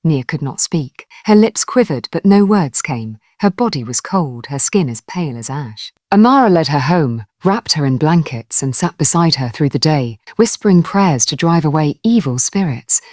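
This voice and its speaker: A woman's voice: low-pitched, animated, normal speed, few pauses, darkish tone, dry recording, clean studio sound. A woman telling a folktale in dramatic scripted narration. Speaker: storyteller.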